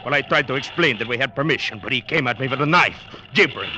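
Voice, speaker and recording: A gruff, plain-spoken sailor, blunt and loyal, with a steady mid-range voice. A clear hiss runs under the old radio recording. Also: male voice, mid-range voice, fast talker, muffled recording. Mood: angry. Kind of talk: old-time radio acting.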